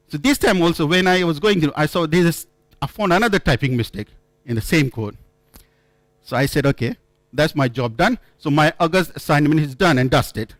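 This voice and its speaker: Man, somewhat high voice, conference speaker, halting and storytelling, dry close sound. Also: no echo. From India. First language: not English. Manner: halting, frequent restarts and self-corrections, storytelling. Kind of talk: conference talk. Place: conference room.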